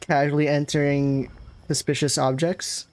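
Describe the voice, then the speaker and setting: A woman's voice: sits low, flat delivery, unhurried, a little mellow. A woman giving casual, dryly offhand commentary as she plays through a video game. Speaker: game streamer.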